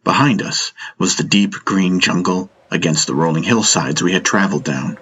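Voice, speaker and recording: A man's voice: low voice, normal speed, fairly bright tone, husky. A man narrating a first-person story as a dramatic audiobook.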